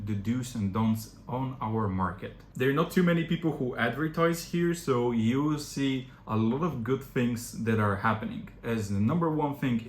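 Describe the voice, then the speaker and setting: A young man from Bulgaria whose first language is Bulgarian, speaking in English as an agency founder who gives direct, practical advice to camera and often pauses on "uh". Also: mid-range voice, a little mellow. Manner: direct, advisory, frequent "uh" fillers. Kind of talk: informal explainer to camera. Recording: echoey room with faint background noise.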